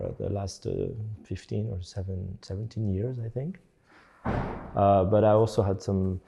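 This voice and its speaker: Middle-aged man raised in Belgium, low and mellow, hesitant interview answers with plenty of fillers. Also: vocal fry. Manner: hesitant, many fillers and restarts. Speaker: architect and teacher.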